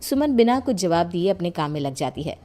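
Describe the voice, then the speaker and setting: A woman's voice: fairly low pitch, sing-song, brisk, mellow, soft background music. A woman narrating a family story as scripted storytelling.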